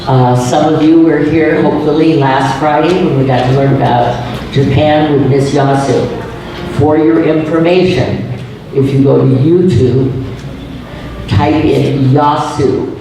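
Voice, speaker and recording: A man's voice: on the high side, fairly even intonation, slow pace, very echoey, distant mic, noisy background. A man hosting a class session, introducing a guest in a chatty, joking way. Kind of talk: classroom presentation.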